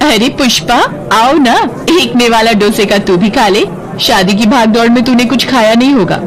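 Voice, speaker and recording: Woman playing a coy, greedy mother-in-law, high-pitched. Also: normal speed, soft background music, natural voice, rough recording. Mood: friendly. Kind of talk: cartoon voice acting.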